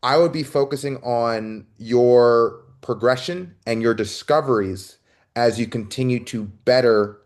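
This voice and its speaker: A man interviewing a guest, a self-described storyteller who asks his questions in a deliberate, word-searching way. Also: medium pitch. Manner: slow and deliberate, searching for words. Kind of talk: conversational interview.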